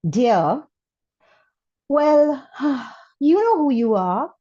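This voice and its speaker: A resentful but curious schoolchild played by a woman reader candidly complains to a new classmate in storybook letters. The delivery is expressive and the recording is slightly muffled. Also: sits high, extremely slow, pitched above the performer's own voice, heavily acted. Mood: grudging. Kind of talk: read-aloud children's storybook.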